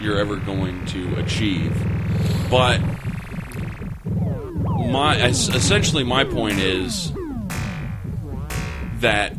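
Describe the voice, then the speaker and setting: Guy on a podcast arguing politics, swears a lot, really animated pitch, music behind him. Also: slow pace, pauses often. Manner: profane, emphatic, argumentative. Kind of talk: casual political debate among friends.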